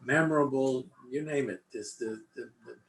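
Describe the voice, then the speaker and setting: Echoey older man from Cuba, halting speech. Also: mid-range voice. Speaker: interviewee, congregation member. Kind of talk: casual personal interview, recollecting. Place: indoor room, online video call. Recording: echoey room, faint background noise.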